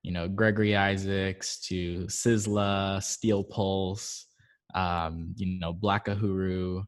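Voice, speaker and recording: A man from Barbados chatting on a video call, telling stories in an animated way and jumping to sudden high notes. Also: slow pace. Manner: animated storytelling with sudden high-pitched outbursts. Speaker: guest. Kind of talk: casual chat.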